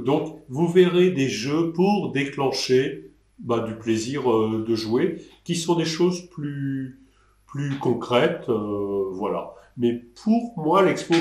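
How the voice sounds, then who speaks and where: An older man answers questions from his desk in an online session, speaking at an ordinary pitch with a hesitant, rambling flow full of restarts. His webcam picks up a roomy echo. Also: darkish tone. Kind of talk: live online Q&A. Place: indoor room at a desk.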